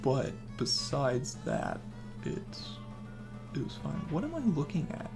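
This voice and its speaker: A young man with a naturally hoarse voice, casually commenting on a game he plays while reading and answering his chat. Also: huge pitch swings, slow pace, over music. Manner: low-key, mumbling, encouraging. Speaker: game streamer.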